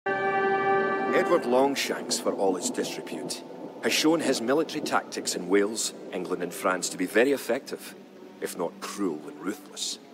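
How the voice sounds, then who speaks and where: An actor playing a grave, resolute medieval clansman, narrating a war campaign in a solemn, measured, dramatic style. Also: fairly low pitch, lively intonation, natural voice. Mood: grim. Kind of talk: dramatic scripted storytelling narration. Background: music behind the voice.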